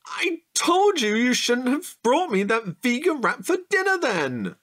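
A man's voice: very high-pitched, sing-song, unhurried, fairly bright tone, full character voice. An actor playing a playful, easily bored, childishly eager man in an audio drama, indignant and teasing. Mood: sulky.

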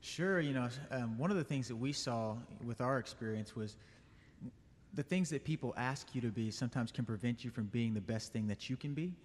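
Calm young man in a reverberant church. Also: medium pitch, brisk, a little hiss or hum. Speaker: guest, business owner and band leader. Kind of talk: interview answers. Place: church sanctuary. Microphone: stand mic. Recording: long reverb of a church hall.

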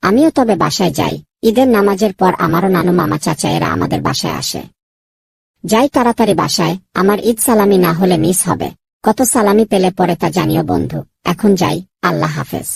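A woman voicing an excitable, friendly young boy in a children's cartoon, with a husky, creaky voice. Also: medium pitch, brisk, no echo, clean studio sound. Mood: friendly, excited.

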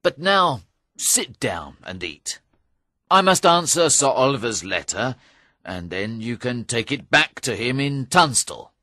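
Greedy middle-aged knight, sly and booming, bright and animated; male narrator puts him on. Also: unhurried, no room sound, clean studio sound, exaggerated voice. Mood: scheming. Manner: sly, booming, laughs at others. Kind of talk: audiobook character voice.

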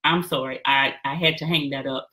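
Female online host, thirties, low pitch with a bright edge, casual address, slightly noisy webcam audio. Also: fairly even intonation, normal speed. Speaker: host of an online prayer call-in session. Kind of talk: casual, apologetic address to listeners. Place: small home office, indoors.

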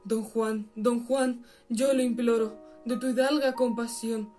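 High, even-toned woman reciting verse over guitar. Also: a little slow. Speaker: poetry reciter. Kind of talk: theatrical recitation of romantic verse drama.

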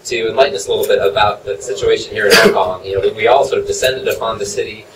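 A man with a lowish voice introduces the next speaker, hesitating and restarting often. He sounds far from the mic, and his voice echoes through a big banquet hall. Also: steady tone, fairly bright tone, a little hiss or hum. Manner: halting, many fillers and restarts. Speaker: event host introducing the speaker. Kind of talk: introductory remarks. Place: large hotel banquet room. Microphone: handheld mic at a podium, filmed from afar.

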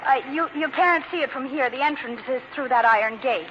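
An actress playing a polite, uneasy office worker in an old-time radio drama. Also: female voice, sits high, a little fast, natural voice. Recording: dull, noisy old radio recording.

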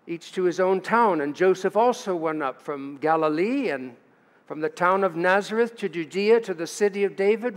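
An older man, a pastor, delivering a sermon at a lectern in a church sanctuary, reading scripture aloud and adding storytelling asides. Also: a little high. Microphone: lectern mic. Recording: church livestream with long reverb.

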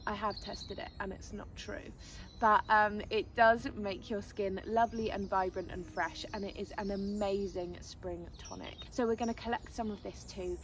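A young woman from England, hosting a foraging and cooking video with bright, enthusiastic, gently playful how-to narration. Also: medium pitch, steady tone, moderate pace, slight background noise. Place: woodland outdoors, then wooden cabin kitchen.

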